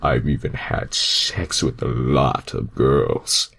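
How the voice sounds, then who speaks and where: A man voicing a smug, laid-back cartoon hedgehog who tries to sound mature, speaking slowly and mock-seriously. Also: extremely low pitch, steady tone, gravelly, fairly bright tone, pitched below the performer's own voice, exaggerated voice. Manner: slow, deliberate, mock-serious.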